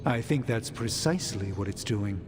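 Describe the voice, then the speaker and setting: A man's voice: fairly low pitch, expressive, big-room sound, over music, lightly acted. A man voicing a formal, learned sorcerer. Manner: measured, formal, polite. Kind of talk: video game cutscene acting.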